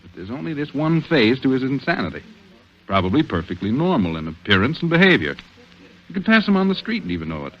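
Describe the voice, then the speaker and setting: An actor playing an earnest, worried police detective in 1940s radio drama style, brooding and terse. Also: male voice, mid-range voice, very animated, fast. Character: earnest, worried male police detective confiding in his sweetheart. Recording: dull vintage radio recording.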